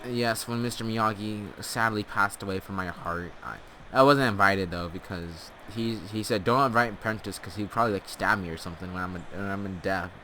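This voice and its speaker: Male gaming storyteller, forceful bright tone, tongue-in-cheek and rambling, faint-budget home recording with clear background noise. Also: on the low side, brisk. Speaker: host of a gaming storytelling channel. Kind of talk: casual comic storytelling over gameplay.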